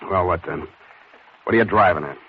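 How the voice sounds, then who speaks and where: Wisecracking american noir detective, talks fast, old tinny radio sound. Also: male voice, low-pitched, creaky, breathy edge, exaggerated voice. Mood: irritated. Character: wisecracking, hard-boiled male private detective, cynical and brisk. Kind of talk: 1940s radio noir narration and dialogue. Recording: muffled vintage radio broadcast with music.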